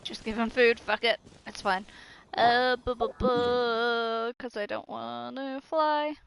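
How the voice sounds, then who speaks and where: A woman's voice: a little high, steady tone, takes it slowly, vocal fry, clear, resonant tone. A woman giving casual live gameplay commentary as a streamer, playful and sweary, often talking to herself. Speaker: video game streamer. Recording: game music behind the voice.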